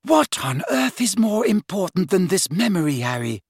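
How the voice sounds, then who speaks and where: A man acts out a bossy teenage schoolgirl for an audiobook. The voice is raised and husky, swinging up and down with brisk, earnest impatience. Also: a little high, big pitch swings, a bit bright, no echo, studio quality, pitched above the performer's own voice, full character voice. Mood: impatient.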